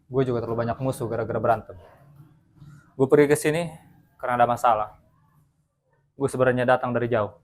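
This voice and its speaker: A man's voice: medium pitch, fast talker, pauses often, close to an everyday voice. A man playing a brooding street fighter, Murayama. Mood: somber.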